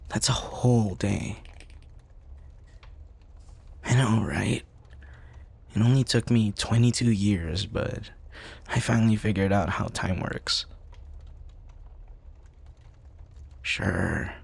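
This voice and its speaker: A man playing an affectionate, playful university student best friend in an intimate roleplay, talking softly and a little hesitantly in a husky voice. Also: on the low side, measured pace, long pauses, full character voice. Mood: teasing. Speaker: audio roleplay voice actor. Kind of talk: intimate boyfriend ASMR roleplay.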